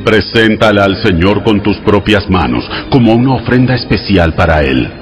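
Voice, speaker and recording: An actor voicing God as a solemn, commanding lawgiver, speaking in a measured, authoritative way in a dramatized audio Bible. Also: male voice, on the low side, animated, music in the background, lightly acted.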